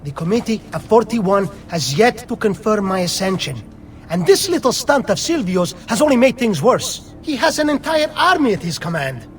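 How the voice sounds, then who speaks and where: A harried political nobleman for a video game. The voice is high and moves up and down a lot, formal and fretful. It sits slightly distant, with a worried edge. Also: big pitch swings, normal speed. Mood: worried, exasperated. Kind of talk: video game cutscene acting.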